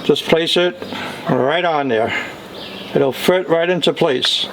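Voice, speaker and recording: A man's voice: fairly high pitch, takes it slowly. A man giving a casual, step-by-step product walkthrough in an instructional way. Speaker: product demonstrator. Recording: echoey room with faint noise.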